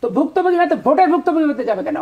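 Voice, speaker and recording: A woman's voice: high voice, animated, racing, phone-quality sound. A middle-aged woman from Bangladesh speaking as a politician, arguing combatively in a discussion with rhetorical questions.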